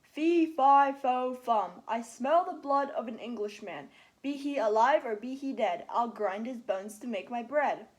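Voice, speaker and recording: A huge, man-eating storybook giant roars out his threats in a loud, booming chant, as a woman reading aloud puts him on. The voice sits fairly high for such a brute. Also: expressive, a little slow.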